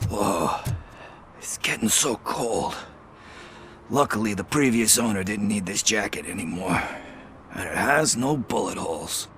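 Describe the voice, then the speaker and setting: Scruffy street snitch in a video game, lowish bright voice, sarcastic, chatty, slangy. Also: male voice, lively intonation, measured pace, a little hiss or hum. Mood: wry, playful. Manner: wry, slangy, casual. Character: sardonic, streetwise male informer, scruffy and chatty. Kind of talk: video game dialogue acting.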